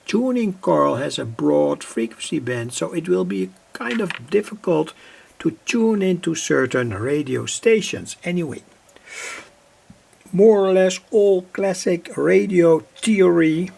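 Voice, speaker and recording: A man presents a hands-on technical demonstration without a script. His voice sits a little high and is gravelly and husky. He explains step by step in a halting manner, pausing often and repeating phrases. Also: animated, a little mellow. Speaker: presenter of hobby radio electronics demos. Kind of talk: unscripted technical demonstration talk.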